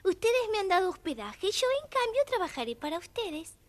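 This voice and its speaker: Very high-voiced, sweet young maiden. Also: female voice, big pitch swings, a little fast. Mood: sweet, polite. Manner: courteous, gentle. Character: sweet, gentle, polite young girl, poor fairy-tale maiden. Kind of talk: scripted children's audio storybook acting.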